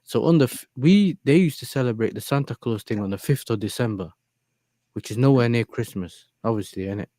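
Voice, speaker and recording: A man's voice: mid-range voice, a little fast, dark tone, dry recording. A man who grew up in the Netherlands, telling a story in casual chat and checking in with yeah and innit. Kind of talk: casual chat on a live stream.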